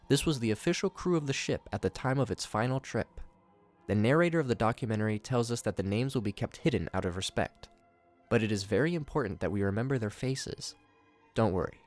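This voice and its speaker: A man hosting a video, reading scripted narration mixed with his own commentary. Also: lowish voice. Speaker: video host reviewing horror shorts.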